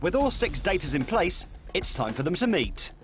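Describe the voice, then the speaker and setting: A man narrating a dating show in a lively, dramatic scripted voice over music. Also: sits high, moderate pace. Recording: voice-over with loud music behind.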